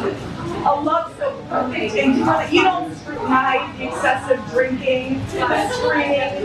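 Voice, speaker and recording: A woman in her thirties performing spoken word poetry at an open mic in a small bar, reading from a book with intense, impassioned delivery. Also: high voice. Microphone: stand mic, filmed by a far camera. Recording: echoey bar, from far off. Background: bar ambience.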